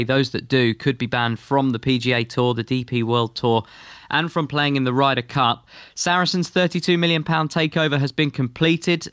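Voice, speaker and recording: A brisk man from the United Kingdom presents sports news on breakfast radio, with a lightly wry touch between the results. His voice is dry and close, with almost no room sound. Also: mid-range voice, fairly bright tone, clear, resonant tone, no echo. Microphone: studio microphone.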